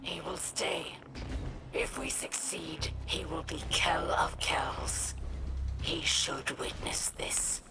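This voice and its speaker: Low, stern alien warrior. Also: female voice, whispered, music in the background. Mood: grim, resolute. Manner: terse, measured. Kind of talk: video game character acting.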